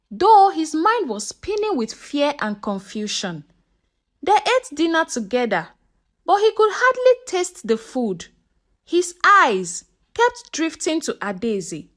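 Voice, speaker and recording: A woman's voice: high voice, fairly bright tone. A woman narrating a scripted folktale in a dramatic, suspenseful manner. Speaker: storyteller.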